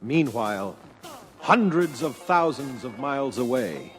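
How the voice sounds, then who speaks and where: A man's voice: medium pitch, animated, a little slow, natural voice. A man narrating a scripted audio adventure as its storyteller. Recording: vintage LP with faint music.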